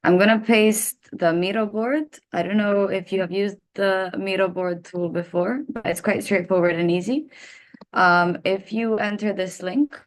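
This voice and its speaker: A woman's voice: mid-range voice, fairly even intonation, measured pace. A woman from Serbia, guiding a seminar audience through a workshop activity with frequent "um" fillers, heard over a video call. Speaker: workshop facilitator. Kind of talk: leading a workshop.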